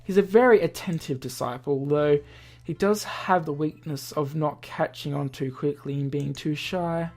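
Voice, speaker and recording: A woman's voice: sits low, normal speed, a little mellow. A woman voicing a pompous, bossy young man who plays the grandiose mentor in a visual novel. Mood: proud. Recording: music behind the voice.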